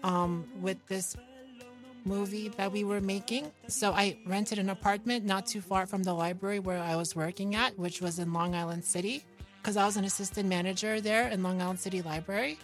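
A woman's voice: mid-range voice, steady tone, normal speed, faint music behind. A woman from New York chatting casually as an interview guest, in a guileless, self-deprecating, simply worded way. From New York, United States. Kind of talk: casual chat.

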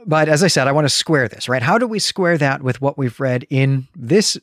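Podcast co-host, mid-pitch male, explains plot with fillers, dry close sound. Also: normal speed, fairly bright tone, dry recording. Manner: explanatory, recapping a story with fillers. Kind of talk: casual comics discussion.

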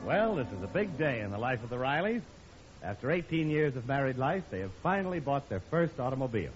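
A man's voice: medium pitch, very animated, quick pace. A man announcing and narrating in a theatrical, wry 1950s radio style. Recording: dull old radio broadcast. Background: music.

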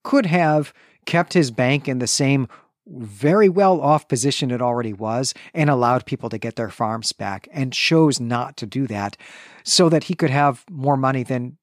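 A man's voice: medium pitch, normal speed, no room sound, clean studio sound. A man co-hosting a podcast, discussing a novel in an earnest, conversational way and often checking in with "right?". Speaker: podcast co-host. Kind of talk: casual literary discussion.

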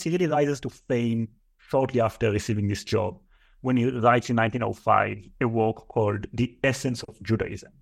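A man's voice: mid-range voice, vocal fry. Israeli-accented man explaining at length. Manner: thoughtful, listing at length with fillers. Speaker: guest scholar. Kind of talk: academic interview answers.

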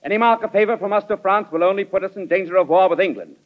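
An actor playing a stubborn, combative Treasury Secretary in an old-time radio drama, argumentative and clipped. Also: male voice, high voice, very fast, natural voice. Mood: angry. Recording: dull vintage radio recording.

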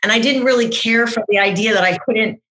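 A middle-aged woman whose first language is French, guesting on an interview and telling her story in a chatty, animated way with frequent restarts. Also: on the high side, a little fast, poor audio. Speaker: marketing consultant guest. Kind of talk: conversational interview.

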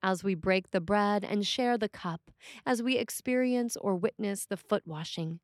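A woman's voice: mid-range voice, measured pace, no echo. Woman reading a sermon, dry close sound. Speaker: priest reading a written sermon.

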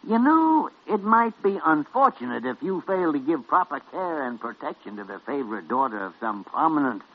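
A crusty old hospital doctor in an old radio drama, blustering and sardonic. His husky voice swings widely as he needles and bosses people. The recording is dull and dated. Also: fairly high pitch, sing-song, moderate pace, exaggerated voice. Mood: sly, pointed. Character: gruff, needling old senior doctor, bossy but shrewd. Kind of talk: 1940s radio drama acting.

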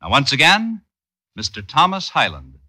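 A man's voice: mid-range voice, huge pitch swings, moderate pace, background noise. A man announcing a vintage radio series in a formal, grand, emphatic style.

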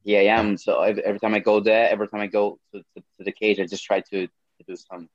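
Young man, Brazilian accent, lowish voice, dry close sound, muffled lo-fi audio, stumbling repeats. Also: flat delivery, no echo. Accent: Brazilian Portuguese. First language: Portuguese. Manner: halting, repeats words, swears casually, laughs often. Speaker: MMA fighter. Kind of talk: casual interview answers.

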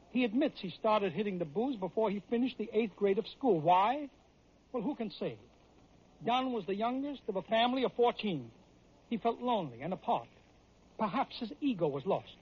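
Dramatic high-voiced American radio storyteller, man. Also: husky. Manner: emphatic, theatrical delivery. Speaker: radio storyteller and sports host. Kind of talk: dramatic scripted narration, old-time radio. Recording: dull vintage radio recording.

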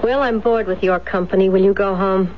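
An actress playing a cold, calculating wife in a radio drama, speaking in a cool, clipped, dismissive way. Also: female voice, medium pitch, fairly even intonation, a little fast, close to an everyday voice. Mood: cool, irritated. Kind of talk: 1970s radio drama acting. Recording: dull, noisy 1970s radio recording.

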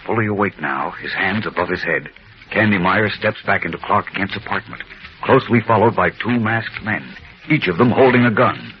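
Dramatic low-voiced radio narrator man. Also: normal speed. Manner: dramatic, urgent. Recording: dull lo-fi old radio recording with faint music.

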